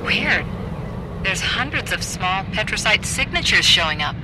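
A woman's voice: medium pitch, very animated, a little slow, very noisy. A woman playing an alert, earnest technical specialist soldier in a video game cutscene. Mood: focused.

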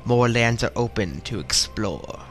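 A man voicing a solemn dead alien temple sentinel with a put-on British accent, reading in a grave, measured, formal way. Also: lowish voice, expressive, a bit bright, faint music behind.

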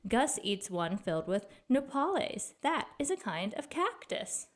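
A woman reading a children's picture book aloud as a storyteller, in an expressive, deliberate way. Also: fairly high pitch.

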